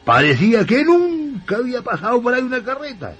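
An actor playing a folksy Chilean country storyteller, telling a spooky radio play tale in a colloquial, suspenseful way. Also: male voice, very high voice, huge pitch swings, a little fast, fairly bright tone, slightly rough, faint music behind, exaggerated voice. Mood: suspenseful, astonished. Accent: rural Chilean. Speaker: radio drama narrator.

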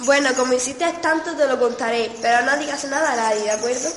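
A woman's voice: high voice, fast, fairly bright tone. A young woman acting a chatty, confiding teenage girl who teasingly probes, in an amateur radio soap. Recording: echoey room with long reverb.

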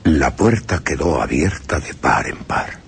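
A man's voice: very deep voice, sing-song, a little slow, a little hiss or hum, exaggerated voice. An actor playing a young male solicitor, who tells his frightening journey in tense first-person radio drama narration. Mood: fearful. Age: young adult. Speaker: narrator as character. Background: music.

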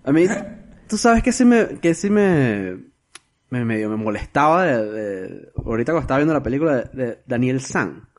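A man's voice: mid-range voice, very animated, moderate pace. A man, co-hosting a casual film podcast, retelling scenes with slang, cursing and frequent restarts. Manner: storytelling, swearing freely, many restarts. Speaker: podcast co-host.

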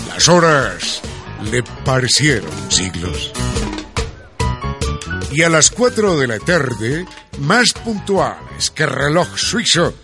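An actor narrating a radio drama as a dramatic, playful storyteller. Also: male voice, medium pitch. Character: lively male storyteller narrator of a radio soap.

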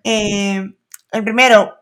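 A woman's voice: mid-range voice, steady tone, slow pace, fairly bright tone. Hesitant woman podcast host. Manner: hesitant, many fillers while asking. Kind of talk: casual interview questions.

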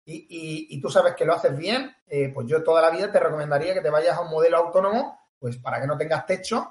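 A man's voice: a little high, fast talker, reverberant. Emphatic Spanish man with Murcian twang. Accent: Murcian-tinged Spanish. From Catalonia. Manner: emphatic, repeats phrases for effect. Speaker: sales coach and guest. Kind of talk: podcast interview.

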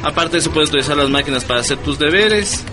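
A man acts an amiable internet café boss in a radio serial. His voice sits a little high, with an Ecuadorian accent and a polite, chatty, slightly hesitant manner. Music plays clearly underneath. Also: animated, quick pace, close to an everyday voice. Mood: friendly, businesslike. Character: friendly, obliging internet café owner, Mr. Padilla. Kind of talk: naturalistic radio drama dialogue.

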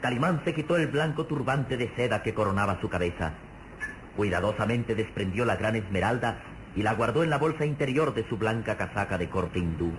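A man's voice: fairly low pitch, expressive, brisk, natural voice. A man narrating an adventure radio serial in a formal, emphatic, suspenseful style. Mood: descriptive, grave. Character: grave, vivid narrator of a heroic adventure serial. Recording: noisy old radio serial recording.

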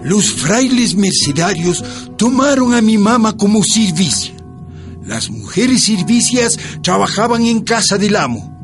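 An actor playing a narrator who recounts a peasant family's hardships in a radio drama. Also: male voice, high-pitched, big pitch swings, a little slow, heavily acted. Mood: sorrowful. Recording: music bed under the voice.

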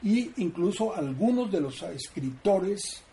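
A man giving an explanatory talk in a measured, informative way. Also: high voice, lively intonation, dark tone. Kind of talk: explanatory talk on radio drama.

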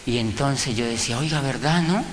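A man's voice: medium pitch, normal speed. A middle-aged Colombian man giving an emphatic motivational talk, telling personal stories and putting rhetorical questions to a live audience. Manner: emphatic, asks rhetorical questions, acts out dialogues. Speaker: motivational speaker and business coach. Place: large room or hall before an audience. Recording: echoey hall, faint background noise.

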